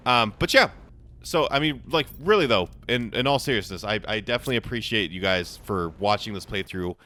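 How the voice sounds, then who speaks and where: A young man with a hoarse voice, chatting casually as he plays a game for his channel, rambling with frequent restarts. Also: lowish voice, animated, a little fast, pushed and bright. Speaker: gaming channel host. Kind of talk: casual gameplay commentary.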